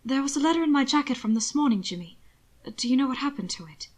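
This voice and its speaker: A woman voicing a weary, polite gentleman in an audiobook, earnest and gently firm. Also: sits high, lively intonation, fast talker, voice pushed up. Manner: courteous, earnest, subdued. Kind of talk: audiobook character dialogue.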